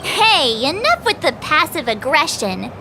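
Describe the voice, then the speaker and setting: A woman's voice: high-pitched, very animated, a little slow, noisy background, full character voice. A woman playing a cute, self-assured young girl adventurer in a video game, perky and earnest. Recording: game dialogue over background music.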